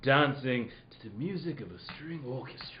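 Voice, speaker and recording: A man's voice: mid-range voice, expressive, moderate pace, crowd noise, lightly acted. A man playing a formal detective for laughs in a live comic radio play, stammering and slipping out of character. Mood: formal, playful. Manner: stammering, often breaking character, goofy. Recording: roomy dull live recording, audience noise.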